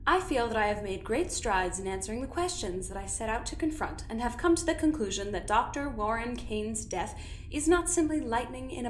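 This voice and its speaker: A woman voicing a cold, scheming female doctor who talks in a measured, clinical way with a quiet menace. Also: on the high side, roomy sound, a little hiss or hum. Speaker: doctor running an investigation. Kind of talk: audio drama acting.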